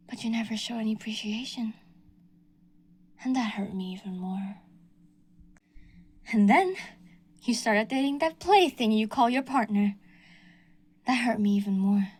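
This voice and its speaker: Woman voicing a delusional yandere stalker, fairly high, sugary menace with hushed whispers. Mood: wounded. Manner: sweetly menacing, slips into whispers. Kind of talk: audio roleplay.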